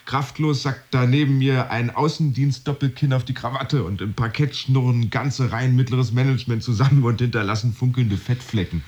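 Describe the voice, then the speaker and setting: A man's voice: mid-range voice, steady tone, moderate pace, hardly any pauses, darkish tone, highs cut off, close to an everyday voice. An actor playing a brooding, wordy office worker in a radio drama, telling his tale in a confiding, wry, literary manner. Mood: wry, tense. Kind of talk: modern German radio drama monologue.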